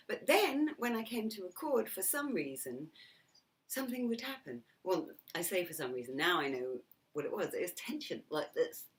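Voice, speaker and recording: Low-voiced middle-aged woman, whispered asides. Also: distant mic. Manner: chatty, laughs often, drops into whispered asides. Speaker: vlogger. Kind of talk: reflective casual monologue to camera.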